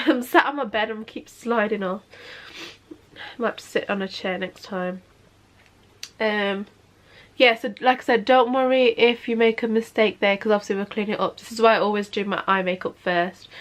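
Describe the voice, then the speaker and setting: A woman, sniffly, talking through a makeup tutorial in a casual, chatty way from her bedroom. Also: medium pitch. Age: 20s. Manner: chatty, keeps saying "obviously". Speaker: makeup vlogger. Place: bedroom, sitting on a bed.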